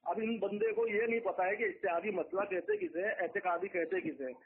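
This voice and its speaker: Man, high-pitched, accusatory, emphatic, repetitive, religious argument, tinny telephone audio. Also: fairly quick, slight background noise. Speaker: debater. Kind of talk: heated religious debate on a call. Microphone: phone call. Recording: tinny phone conference line.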